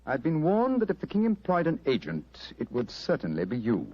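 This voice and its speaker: A man acting a shrewd, courteous gentleman detective in a theatrical, urbane manner, in old-time radio drama style. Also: medium pitch, huge pitch swings, moderate pace. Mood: reading, measured. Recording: dull, husky lo-fi radio recording.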